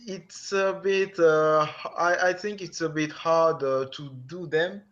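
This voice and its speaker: A man whose first language is French, speaking as a podcast guest in a slightly higher voice. He talks very slowly through a dull, lo-fi mic, hesitating and searching for words. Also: steady tone, fairly bright tone. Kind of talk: casual podcast chat.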